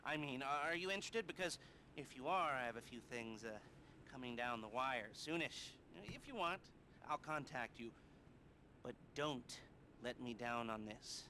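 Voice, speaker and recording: Sharp-voiced, neurotic heist planner. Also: male voice, medium pitch, expressive, slight background noise. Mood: cagey, wry. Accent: American. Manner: halting, stammering, nervous, wry. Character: awkward, neurotic male criminal heist planner, socially inept schemer. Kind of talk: video game cutscene acting.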